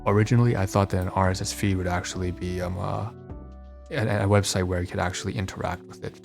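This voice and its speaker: Low, creaky, flat-toned man rambling casually. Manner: rambling, self-correcting. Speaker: podcast host demonstrating his websites. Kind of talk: casual unscripted screen-share walkthrough.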